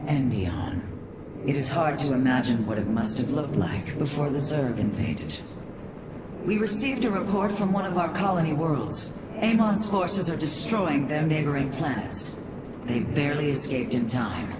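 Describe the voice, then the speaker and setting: A woman's voice: low-pitched, very animated, normal speed. A woman voicing a composed alien military leader in a video game, speaking in a grave, formal, stately way. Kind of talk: dramatic video game acting. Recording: echoey, distant, very loud ambient noise.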